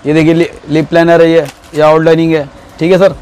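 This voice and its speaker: A man's voice: fairly high pitch, little pitch movement, brisk, darkish tone, clear, resonant tone, rough recording. A middle-aged man with a Delhi Hindi accent showing his wholesale stock and quoting prices, agreeing again and again. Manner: keeps agreeing, "bilkul, bilkul". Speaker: shopkeeper. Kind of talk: casual sales talk. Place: small crowded wholesale shop, indoors.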